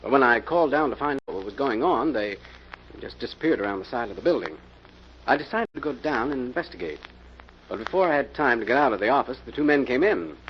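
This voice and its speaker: An actor playing an earnest office worker with a plain storytelling manner in 1940s radio mystery style. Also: male voice, mid-range voice, expressive, fast, lightly acted. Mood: earnest, recounting. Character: Bill, earnest male office worker, assault victim. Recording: dull, noisy old-time radio recording.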